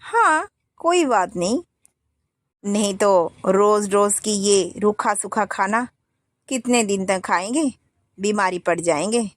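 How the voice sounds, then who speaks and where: A woman's voice: mid-range voice, lively intonation, slow pace, dry recording, clean studio sound. A woman voicing a stern older mother-in-law who scolds the household firmly, in a storybook tale. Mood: resigned. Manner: scolding, firm.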